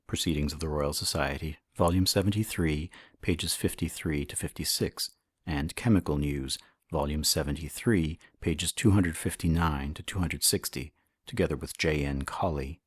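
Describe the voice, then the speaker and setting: A man with a husky voice reads a historical science text as formal, measured audiobook narration. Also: a bass voice, dry recording, studio quality. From Canada. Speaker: audiobook reader.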